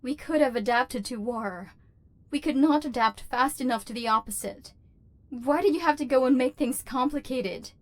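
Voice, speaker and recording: Woman acting a formal, wounded robot overlord, higher-pitched. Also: normal speed, no echo, lightly acted. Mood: cold, guarded, then flustered, defiant. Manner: formal, precise, stilted diction with wounded feeling. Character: embittered, lonely female robot overlord, secretly tender and lovelorn. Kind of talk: scripted ASMR roleplay acting.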